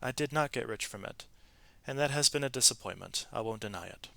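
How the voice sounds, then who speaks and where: A man's voice: medium pitch, fairly quick, gravelly, bright, forceful tone, no room sound. A man reading the words of a plain-spoken, reflective elderly woman in a storybook. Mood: resigned, candid. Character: candid, wistful elderly woman recalling her life.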